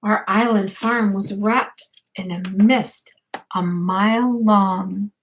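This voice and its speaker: A woman's voice: medium pitch, fairly even intonation, slow, a little hiss or hum, rough recording. An older woman, a grandmother, reads a rhyming picture book aloud expressively and unhurriedly, speaking directly to young children. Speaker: grandmother reading a bedtime story to children.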